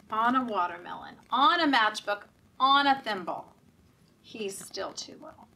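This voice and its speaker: A woman with a fairly high, bright voice reads a picture book to young children at an unhurried pace. She is expressive and interactive, drawing her little listeners in as a storytime leader does. The voice is picked up from a distance. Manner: animated, interactive, asking children questions and prompting actions. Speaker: children's storytime reader at a library. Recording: voice at a distance from mic.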